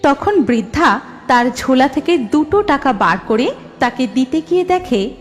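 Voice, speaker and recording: A woman narrating a cartoon story in an even storytelling manner. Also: high-pitched, measured pace, soft background music.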